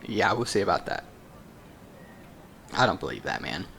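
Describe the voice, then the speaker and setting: A woman's voice: extremely low pitch, sing-song, moderate pace, creaky, slight background noise. A woman giving casual, muttered, off-the-cuff commentary as she plays a game. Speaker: gamer commenting on own playthrough. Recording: loud game music behind.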